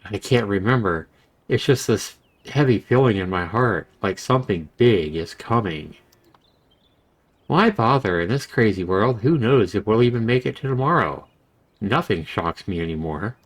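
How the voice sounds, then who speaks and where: Man as a brooding, weary young man, low-pitched. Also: animated, moderate pace.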